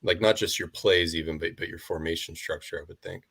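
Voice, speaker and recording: Very deep-voiced podcast co-host. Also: male voice, steady tone, gravelly, a bit bright, breathy edge. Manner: halting restarts, loose questions to the guest. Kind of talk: casual podcast chat about football.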